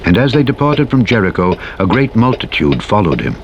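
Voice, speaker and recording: Clear vehicle engine noise runs behind a man with a fairly low voice. He reads scripture as a narrator, in a solemn and measured way, with archaic, formal phrasing. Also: very animated. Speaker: Bible narrator. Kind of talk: scripture reading. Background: truck engine noise from a driving game.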